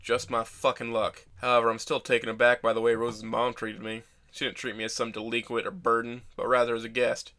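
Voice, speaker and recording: A man voicing an injured, self-deprecating teenage boy who narrates his own story in a measured, wry, deadpan way for an audio drama. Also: mid-range voice. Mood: wry, bitter. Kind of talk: first-person scripted narration.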